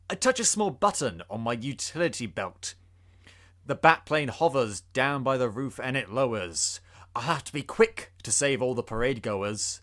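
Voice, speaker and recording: Animated, theatrical man reading verse. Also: medium pitch, vocal fry. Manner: theatrical, suspenseful. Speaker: podcast host. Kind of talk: dramatic storybook reading of rhyming verse.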